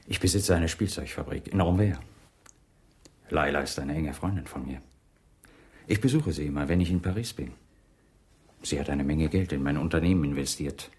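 A man's voice: extremely low pitch, moderate pace, pauses often, darkish tone, husky. An actor playing a big, devoted toy maker in his forties in a radio crime drama, earnest and explanatory. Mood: polite, forthcoming. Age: 40s.

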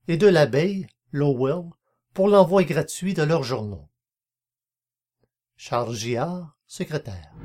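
A podcast narrator reading scripted archival material aloud in a measured, formal manner. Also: medium pitch, darkish tone.

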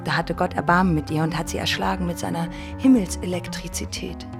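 Actress as a creaky-voiced naive, dreamy peasant girl. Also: on the low side, fairly even intonation, a little fast, close to an everyday voice. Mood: earnest, dreamy. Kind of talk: German radio drama acting. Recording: music behind the voice.

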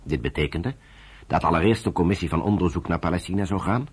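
An actor as the grave storyteller of a historical radio drama, narrating in a solemn, expressive way. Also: male voice, low-pitched, fast, slightly rough.

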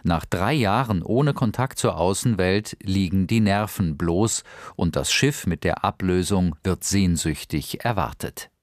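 A man's voice: low voice, measured pace. A man reading a scripted introduction to a radio play as an announcer.

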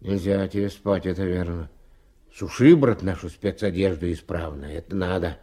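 An older man playing a kindly, fatherly old Siberian timber rafter with folksy speech and a husky voice in a Soviet radio drama. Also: sits low, normal speed, dark tone. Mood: tired, gentle.

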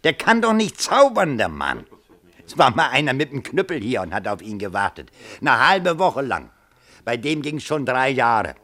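An actor playing a chatty, folksy pub landlord in a radio play, knowing, teasing and colloquial. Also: male voice, mid-range voice, sing-song, normal speed, bright, forceful tone. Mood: gossipy. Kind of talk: 1970s German radio play acting.

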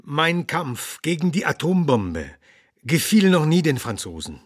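An old humanitarian doctor in a radio drama. His husky voice swings expressively over a wide range. He speaks deliberately, opinionated and dryly witty. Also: a little high, very animated, dry recording, studio quality. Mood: grave, indignant. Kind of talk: radio drama in the form of a talk show interview.

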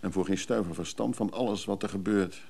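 An actor playing a weary, protective man who is somewhat overwhelmed, in naturalistic radio drama dialogue, with a gravelly voice. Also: deep voice, fairly quick, darkish tone, heavily acted.

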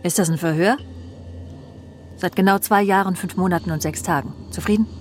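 A low-pitched female voice of an actress playing a prickly, brooding career woman in a radio drama. She is clipped and defensive, and confides her suspicions like private thoughts. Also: brisk, faint music behind, close to an everyday voice. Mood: guarded, prickly. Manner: indignant, clipped, confiding in inner monologue. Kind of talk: radio play dialogue and first-person narration.

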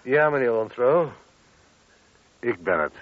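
A man's voice: mid-range voice, sing-song, slow. A man acting a methodical police inspector in a Dutch radio play. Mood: pressing. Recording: dull, muffled old radio recording.

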